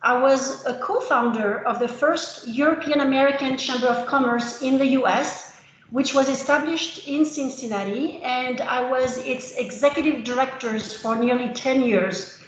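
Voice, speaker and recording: A French-born woman in her fifties giving a measured webinar presentation as a consultant, with the odd "uh" between phrases. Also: sits high, a little hiss or hum. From France. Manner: measured, with filler uhs. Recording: roomy video-call audio.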